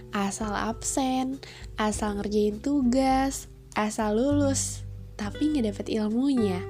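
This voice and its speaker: A young woman hosts her podcast, her nose stuffed and her voice nasal from a cold. She sits somewhat high in pitch but sounds mellow and soft-edged. She talks in a friendly, chatty, encouraging way over faint instrumental music. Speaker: podcast host. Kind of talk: casual solo podcast talk.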